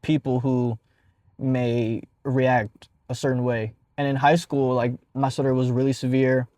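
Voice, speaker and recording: A young man who stutters talks through a casual storytime vlog, breaking into repeated sounds and restarts and filling gaps with fillers. His voice sits at an average pitch, and the sound is close and dry, with no room echo. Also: flat delivery, unhurried, dark tone. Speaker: vlogger.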